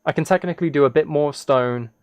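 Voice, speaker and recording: A man's voice: a little high, fast, darkish tone, clear, resonant tone, no room sound. A man chatting casually on a livestream as he plays a game, rambling and thinking aloud. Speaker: live streamer. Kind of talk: casual livestream chat while gaming, reading chat.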